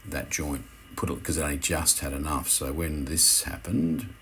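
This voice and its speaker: Very deep-voiced man explaining hands-on work. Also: big pitch swings, creaky, fairly bright tone, a little hiss or hum. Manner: hesitant, halting, self-correcting. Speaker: instructor. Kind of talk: unscripted how-to voice-over commentary.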